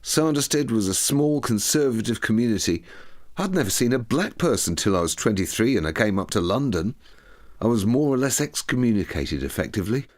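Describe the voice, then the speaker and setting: A man's voice: mid-range voice, lively intonation, a little fast, pitched above the performer's own voice, lightly acted. A man voicing a reflective, plain-spoken man giving earnest personal testimony in an audiobook. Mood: sober, candid.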